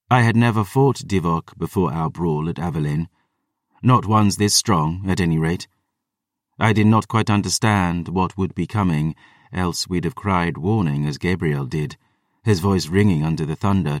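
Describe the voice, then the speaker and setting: Man voicing a grave, proud warrior woman, low-pitched. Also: no room sound, studio quality, distant mic. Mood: grave, urgent. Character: battle-hardened proud female vampire warrior recounting a battle. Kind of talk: dramatic first-person storytelling.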